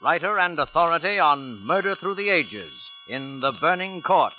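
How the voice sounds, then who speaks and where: Higher-voiced male vintage radio announcer. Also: animated, normal speed, noisy background. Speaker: programme announcer. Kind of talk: 1940s radio drama introduction, scripted. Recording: dull old radio recording with music.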